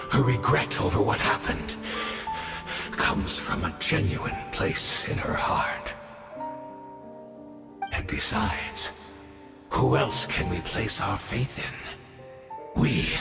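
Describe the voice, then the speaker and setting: A weary old immortal warrior for a fantasy game, wry and courteous. His low voice crackles with gravel, and he takes his time over every phrase in a cavernous, echoing space. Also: exaggerated voice. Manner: measured, wry, conversational taunting with rhetorical questions. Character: weary, cursed immortal old male swordsman, wryly courteous. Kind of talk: dramatic video game voice acting. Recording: long cavernous reverb, music behind.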